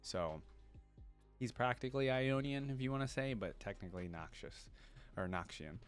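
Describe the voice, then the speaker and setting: A man's voice: medium pitch, animated, moderate pace, clean tone. A man giving casual reaction commentary as host of a video, informal and thinking aloud. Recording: music playing over the voice. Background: game theme music.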